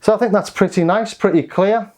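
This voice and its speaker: A man's voice: high-pitched, brisk, music in the background. A man giving a casual product demonstration, informal and understated, thinking aloud as he goes. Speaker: synth reviewer and demonstrator.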